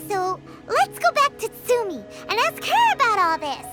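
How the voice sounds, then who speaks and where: A tiny, excitable fairy girl from a video game, with an extremely high, squeaky voice. Her delivery is cute, emphatic and bouncing in pitch, over loud music. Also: normal speed, exaggerated voice. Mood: frustrated. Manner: squeaky, cute, emphatic, speaks of herself in third person. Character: tiny childlike female fairy companion, excitable, indignant, loyal.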